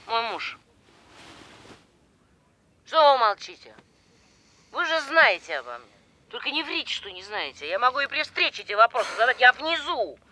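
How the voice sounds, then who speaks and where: An actress playing a suspicious, insistent and nervy wronged wife in an audio drama phone dialogue. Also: female voice, high-pitched, pushed and bright, full character voice.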